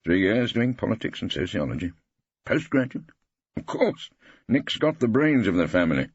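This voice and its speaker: Man playing husky, sardonic ageing English barrister. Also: on the low side, sing-song, normal speed, dry recording, muffled recording, pitched above the performer's own voice. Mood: dry, resigned. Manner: pompous, mock-incredulous, ironic.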